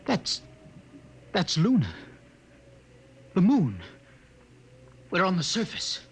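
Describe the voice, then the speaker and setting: Earnest young American husband, swooping pitch. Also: male voice, huge pitch swings, takes it slowly, slightly rough, full character voice. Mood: awed. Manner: earnest, dramatic. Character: young husband escaping underground, awed, tender, anxious. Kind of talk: 1950s radio drama acting. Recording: noisy 1950 radio transcription.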